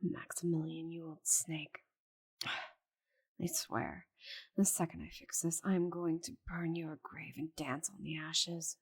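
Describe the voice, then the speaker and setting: A woman voicing a proud, stubborn, bitter female dragon in a dramatic audio roleplay, with a breathy voice and haughty, sighing delivery. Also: low-pitched, level tone, slow pace, heavily acted. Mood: bitterly vengeful. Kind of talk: scripted audio roleplay monologue.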